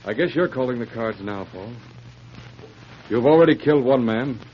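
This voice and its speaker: A calm and resolute Mounted Police sergeant, played by a male actor, speaking in measured, firm lines. It is vintage radio drama, muffled and with some noise. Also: mid-range voice, close to an everyday voice. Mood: calm, challenging. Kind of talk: old-time radio drama acting.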